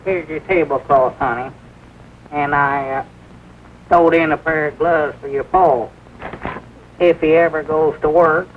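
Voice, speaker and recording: An actor playing a soft-hearted, fretful older country storekeeper with a rural Southern accent, in 1940s film style. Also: male voice, on the high side, steady tone, unhurried, pauses often, a little hiss or hum, lightly acted. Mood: kindly. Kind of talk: 1940s film comedy-drama acting. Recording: tinny 1940s film soundtrack, loud music behind.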